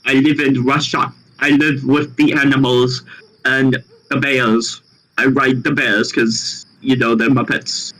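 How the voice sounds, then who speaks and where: A man's voice: mid-range voice, flat delivery, unhurried, pushed and bright, rough recording. A man doing a comic mock-Russian character who brags about riding his pet bears, hammed up and mock-boastful. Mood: hammy.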